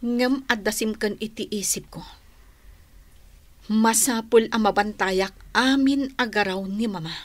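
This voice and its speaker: A woman's voice: medium pitch, unhurried, pauses often, no room sound, close to an everyday voice. A woman playing an earnest, suspicious daughter in a radio drama, with an Ilocano accent. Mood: troubled, confiding. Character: troubled, suspicious daughter confiding in her aunt.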